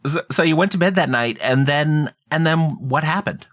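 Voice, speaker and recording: A man's voice: fairly high pitch, normal speed, fairly bright tone. A middle-aged man, hosting a podcast with scripted introductions and conversational interviewing. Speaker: podcast host.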